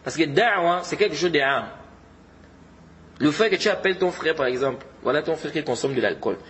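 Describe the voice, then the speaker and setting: A man's voice: a little high, lively intonation, a little fast, a bit bright, a little hiss or hum. A man giving an informal religious lesson, exhorting his listeners and often repeating his points with Arabic religious terms mixed in. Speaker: religious teacher.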